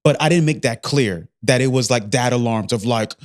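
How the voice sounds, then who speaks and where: A man in his thirties co-hosting a podcast, talking in a playful, rambling way and pausing to search for words. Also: mid-range voice. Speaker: podcast co-host. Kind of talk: casual chat.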